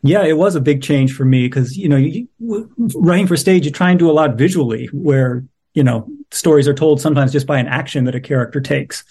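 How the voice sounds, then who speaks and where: Husky, mellow, higher-pitched man. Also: brisk, hardly any pauses. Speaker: playwright, interview guest. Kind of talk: casual, reflective interview talk.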